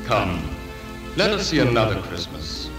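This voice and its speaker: A solemn, commanding ghost in an old radio drama. The voice is measured and formal, hangs in a deep echo and has music behind it. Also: male voice, huge pitch swings. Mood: insistent.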